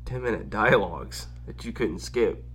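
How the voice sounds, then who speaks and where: A man's voice: fairly low pitch, moderate pace, a bit bright, husky, faint music behind. A man chatting casually as a podcast guest, slangy and repeating phrases for emphasis. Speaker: podcast guest, content creator. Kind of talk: casual conversational chat.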